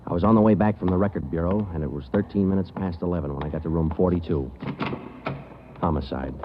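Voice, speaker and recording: An actor playing a terse police sergeant who narrates a case in clipped, matter-of-fact 1940s radio drama style. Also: male voice, deep voice, fast, slight background noise, close to an everyday voice. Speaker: detective narrator. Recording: muffled old radio broadcast.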